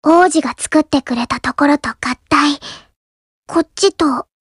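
Young anime girl, very high voice, soft-spoken, shy, polite, earnest, dry close studio sound, mobile game lines. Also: a little slow, no echo. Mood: gently pleased. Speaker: game character voice actor.

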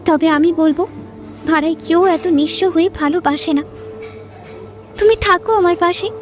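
A very high-pitched young woman in love, played by a voice actress, earnest and full of feeling. She speaks in the heartfelt manner of a romantic audio drama, with music underneath. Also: a little mellow. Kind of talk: romantic audio story acting.

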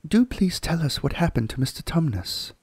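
A man voicing an earnest, anxious young girl in a storybook reading, with a breathy voice. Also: on the high side, very animated, normal speed, darkish tone, dry recording, studio quality, pitched above the performer's own voice, full character voice. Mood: pleading.

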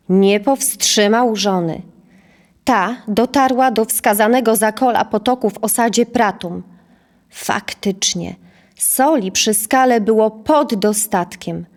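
Spokojna narratorka, powolne tempo. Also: female voice, medium pitch, slow. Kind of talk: scripted storytelling narration.

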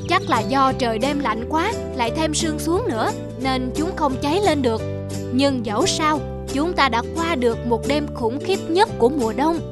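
A wise, proud monkey chief from a children's cartoon. The delivery is measured and commanding, in a fairly high voice over music. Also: female voice, animated. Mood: reassuring.

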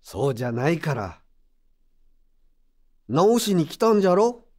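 An actor playing a gruff but kindly old craftsman in an audio drama, with rustic, plain-spoken elderly speech. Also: fairly high pitch, big pitch swings, a little slow, a little mellow. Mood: stern, urging. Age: older. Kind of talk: Japanese audio drama acting.

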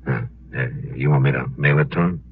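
Very deep, stern frontier marshal. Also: male voice. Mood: grave, restrained. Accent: American. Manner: measured, plain-spoken, restrained. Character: stern, weary frontier marshal bound by the law. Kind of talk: 1950s radio western acting. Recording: dull, noisy 1950s radio recording.

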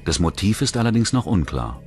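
A man narrating a scripted true-crime documentary in a measured, matter-of-fact manner. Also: deep voice, big pitch swings, over music.

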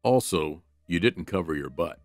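A man voicing a gruff, world-weary, protective big dog in a comic dub, with a dry, sarcastic, deadpan manner. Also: low-pitched, moderate pace, no echo, faint music behind. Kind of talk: animated comic dub acting.

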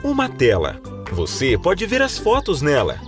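A man's voice: a little high, huge pitch swings, a little slow, over music. A man narrating a children's cartoon in an instructive, exclamatory manner.

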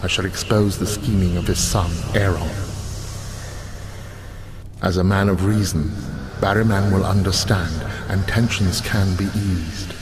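An actor playing a solemn, earnest elf envoy in a video game, with formal, measured phrasing. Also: male voice, low-pitched, fairly even intonation, background noise. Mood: formal, resolved.

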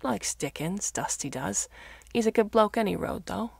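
Woman voicing a folksy, gravelly countryman. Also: medium pitch, lively intonation, normal speed, no room sound, pitched above the performer's own voice, heavily acted. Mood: matter-of-fact. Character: plain-spoken, good-natured rural Yorkshire mammoth keeper, man.

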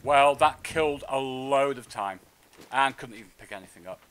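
A man's voice: mid-range voice, moderate pace, fairly bright tone, smooth. A man streaming a video game, commenting in short muttered remarks to himself as he plays, with game sound behind him. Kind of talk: live gameplay commentary. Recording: noticeable background noise.

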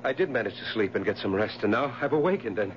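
A man plays a nerve-racked, obsessive husband in a seventies radio play. His delivery is halting and melodramatic, repeating itself as if haunted. The recording is muffled with some hiss. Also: fairly low pitch, expressive, close to an everyday voice. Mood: shaken, dread. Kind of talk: 1970s radio drama acting. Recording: muffled, hissy 1970s radio broadcast recording.

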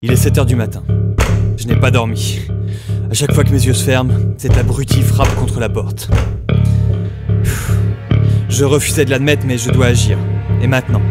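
A man acting a weary, isolated survivor who records a halting, brooding audio diary for a radio drama. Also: medium pitch, steady tone, over loud music, natural voice. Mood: tired, tense. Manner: halting, brooding, with pauses and hesitations. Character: weary, isolated male survivor holed up in a flat. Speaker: narrator and lead actor.

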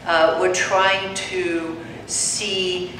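A woman's voice: on the low side, flat delivery, drawn-out words. An older woman from Austria with an Austrian accent, earnestly urging a small community meeting to volunteer, speaking into a handheld mic. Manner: earnest, urging, talks with hands. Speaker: meeting organizer. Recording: echoey room, far mic, noise.

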